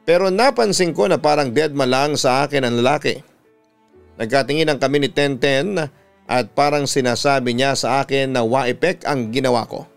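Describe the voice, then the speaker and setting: A Filipino man narrating a listener's letter in the first person like a radio storyteller, in measured, deliberate phrases. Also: medium pitch, gravelly, clear, resonant tone. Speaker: radio announcer and storytelling host. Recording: music playing behind the voice. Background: instrumental music.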